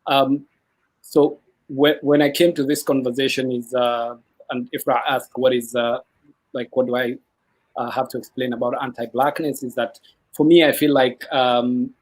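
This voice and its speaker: A man from Kenya, a graduate student speaking on a panel, hesitant, with many pauses. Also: mid-range voice, little pitch movement, low-quality recording. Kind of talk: panel discussion remarks.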